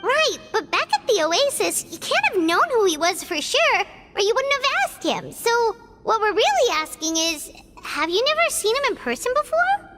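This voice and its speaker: A woman voicing a small, nosy, childlike fairy companion in a video game, with a squeaky voice and a blunt, questioning manner. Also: big pitch swings, moderate pace, big-room sound, heavy background noise. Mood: suspicious. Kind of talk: video game character acting.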